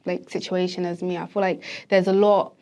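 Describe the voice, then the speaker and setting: A woman's voice: lowish voice, little pitch movement, normal speed, darkish tone. A 22-year-old woman, a neuroscience student, chatting casually as a podcast guest, laughing often and filling her speech with "like" and "um". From grew up in the UK. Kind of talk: casual interview chat.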